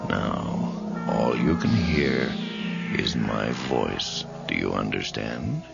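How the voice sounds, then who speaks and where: Casting: stern radio-drama doctor, extremely low and gravelly, deliberate and commanding, music underneath. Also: male voice, very animated, highs cut off, heavily acted. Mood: calm, commanding. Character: stern, self-assured male doctor hypnotizing a patient. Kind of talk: radio horror drama acting.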